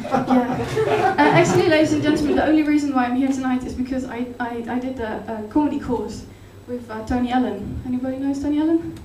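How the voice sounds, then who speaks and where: A woman with a Dutch accent performing a rambling, hesitant stand-up set in a deadpan, absurd way. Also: high voice, fast talker, hardly any pauses, reverberant, far from the mic, a loud crowd. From Netherlands. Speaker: stand-up comedian. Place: comedy club stage. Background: audience laughter.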